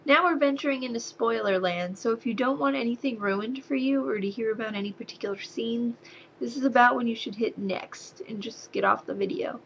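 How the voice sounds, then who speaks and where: A woman's voice: fairly high pitch, brisk, creaky, slight background noise, low-quality recording. A young woman with a slight Southern accent reviewing a horror movie in a casual, sarcastic way, ranting with exasperation at the characters. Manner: sarcastic, exasperated, animated rants.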